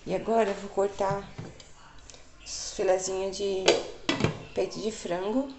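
A woman's voice: medium pitch, level tone, unhurried, very noisy. Chatty woman in an echoey kitchen. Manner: chatty, explains each step to viewers. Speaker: cooking vlogger. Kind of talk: casual home cooking vlog. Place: small tiled home kitchen. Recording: echoey tiled kitchen with clattering pans.

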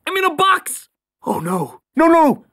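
An excitable cartoon lion from a children's storybook, pitched high and swinging wildly. The delivery is theatrical and exclamatory, quick to panic, in a dry, close recording. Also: creaky, no echo, full character voice. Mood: panicked.

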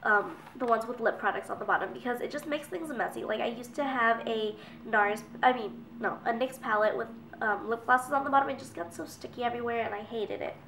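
A young woman with a cold sounds congested as she rambles on, dropping plenty of fillers. Her voice sits a little high. The camera mic hangs back from her, so the bedroom adds an echo. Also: brisk, fairly bright tone, recorded from a distance, slight background noise. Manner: chatty, rambling with many fillers. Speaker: beauty vlogger. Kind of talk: casual talk to camera. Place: small bedroom indoors. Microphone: camera mic at a distance. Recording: roomy, from off the mic.

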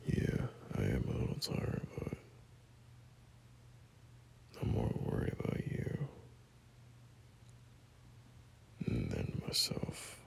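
A man playing a gentle, caring boyfriend at bedtime in an intimate ASMR roleplay, speaking softly in a breathy half-whisper with hesitant pauses. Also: rumbling low, wildly swooping pitch, slight background noise, pitched below the performer's own voice, exaggerated voice, rough recording. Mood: tender, tired. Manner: soft, slow, hesitant, reassuring.

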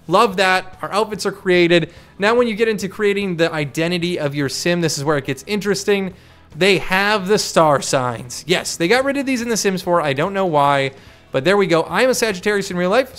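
A young man with a higher voice chatting casually and thinking aloud as he hosts a game playthrough. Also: fairly bright tone. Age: young adult. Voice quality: higher-pitched, clear smooth voice. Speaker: gaming video host. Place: small home room, indoors. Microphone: desk microphone close to mouth. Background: game music.

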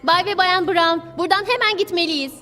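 A woman's voice: very high voice, fairly quick, sharp tone, far from the mic. A woman voicing an earnest, take-charge teenage heroine in a cartoon dub. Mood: insistent. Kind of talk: animated series dub acting. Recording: faint music behind voice.

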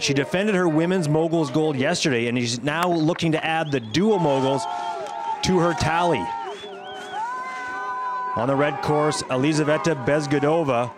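A man giving scripted highlights commentary on a sports competition as the commentator.

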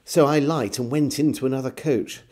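A man, reading an old diary aloud as a storyteller, measured and theatrical with deliberate pauses. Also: mid-range voice, darkish tone. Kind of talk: expressive literary diary reading. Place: small home room with bookshelves.

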